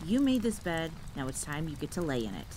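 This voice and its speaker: A woman's voice: brisk, rough recording. A woman playing an exasperated veteran monster hunter in an audio roleplay, wry and teasing, solemn when telling lore. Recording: steady rain and rumble behind. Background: rain, campfire ambience.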